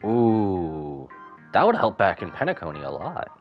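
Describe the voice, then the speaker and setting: Animated man doing casual game-stream commentary. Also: low-pitched, unhurried, highs cut off, soft background music. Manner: informal, muttering asides and exclamations. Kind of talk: casual reaction commentary while playing.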